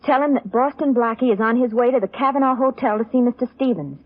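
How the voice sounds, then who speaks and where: A woman's voice: a little high, fast, lightly acted. An actress playing an anxious, headstrong young woman with an earnest, questioning way, in old-time radio detective style. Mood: urgent. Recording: tinny, narrow-band old radio recording.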